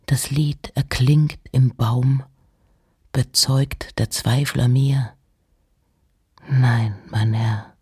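An actress playing an introspective nineteenth-century woman poet in a radio play, reciting in a breathy, hushed, deliberate way with pauses between short phrases. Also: a bass voice, fairly even intonation, full character voice. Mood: hushed, pensive. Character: Emily Dickinson, introspective 19th-century American woman poet. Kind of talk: radio play, poetic recitation of poems and letters.

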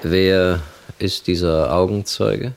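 An actor playing a dismissive, authoritative police chief in a radio crime drama, curt and patronising. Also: male voice, low-pitched, steady tone, takes it slowly, vocal fry, a little hiss or hum, full character voice.

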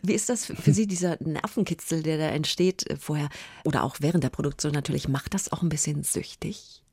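A woman interviewer speaks in a conversational style. Her voice sits slightly low and has a breathy, husky grain. The sound is dry, with no room around it. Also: a little fast, a little mellow, no room sound. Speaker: interviewer, radio host.

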